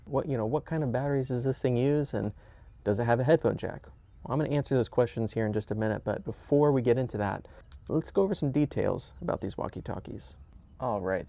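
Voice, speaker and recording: Guy doing a casual product demo, medium pitched voice, just explains stuff plainly. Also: creaky, warm tone. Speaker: product reviewer. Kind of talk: casual product demonstration to camera.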